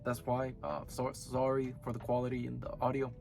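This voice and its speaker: A man hosting his own anime review channel, talking casually while sick, so his voice comes out hoarse and raspy as he rambles and corrects himself. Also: mid-range voice, monotone, brisk, darkish tone, soft background music.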